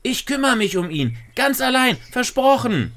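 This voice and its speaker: A man voicing an eager young girl in a children's story, pleading and excited. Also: moderate pace, heavily acted. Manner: high, pleading, breathless with eagerness.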